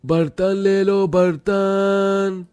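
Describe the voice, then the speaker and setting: A man's voice: sits high, level tone, very slow, smooth, dry recording, clean studio sound, soft background music. A man voicing a rude, mean street utensil seller with a contemptuous manner. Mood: hawking. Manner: loud, contemptuous.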